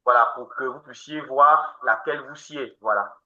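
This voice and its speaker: A man hosting a webinar, answering listeners' questions in a steady explaining manner and counting off his points in order. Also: medium pitch, normal speed. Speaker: webinar host answering questions on natural detox treatments. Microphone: online video call. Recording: dull lo-fi call audio.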